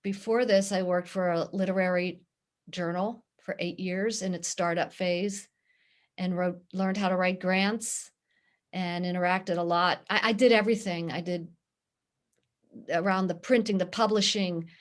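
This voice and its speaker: A middle-aged woman with a Pittsburgh accent, answering interview questions in an earnest, steady way. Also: on the low side, a little slow. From Pittsburgh, USA. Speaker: guest, nonprofit director.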